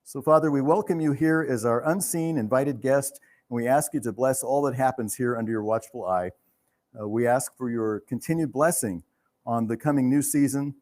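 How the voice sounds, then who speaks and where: A man's voice: medium pitch, darkish tone. A man speaking earnestly and reverently as host of a live theater event, giving a welcome speech and prayer.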